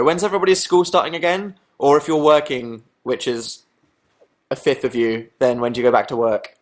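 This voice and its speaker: A young Englishman hosts a relaxed live chat, answering viewers one by one. His humour is dry and sarcastic, often turned on himself. His voice sits at an ordinary middle pitch. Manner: sarcastic, deadpan self-mocking jokes. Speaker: livestream host. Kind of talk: casual live chat reading viewer comments.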